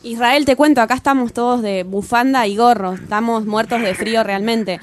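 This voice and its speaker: A woman's voice: fairly high pitch, moderate pace, soft background music. A woman with an Argentine accent co-hosting a radio chat, playful and flirty in her questions. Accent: Argentine Spanish. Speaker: radio co-host. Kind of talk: casual radio interview.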